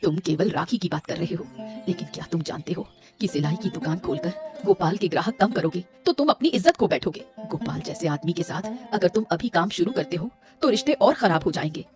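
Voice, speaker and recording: Woman acting a low-voiced, stern cartoon mother-in-law. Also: animated, fairly quick, natural voice. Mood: scolding. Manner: firm, reproachful. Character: stern, disapproving mother-in-law who worries and scolds. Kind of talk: Hindi moral-story cartoon voice acting. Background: music.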